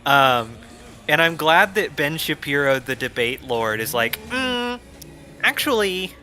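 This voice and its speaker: A man's voice: on the high side, big pitch swings, measured pace, pushed and bright. Wry man streaming and reading chat. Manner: wry, reads chat messages aloud. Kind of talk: casual gameplay stream chat.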